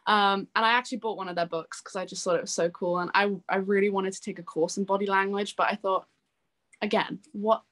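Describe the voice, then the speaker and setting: Female, young adult, medium pitch. Informal, enthusiastic, chatty interview guest. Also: steady tone, brisk, fairly bright tone. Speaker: interview guest, singer-songwriter. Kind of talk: casual chat.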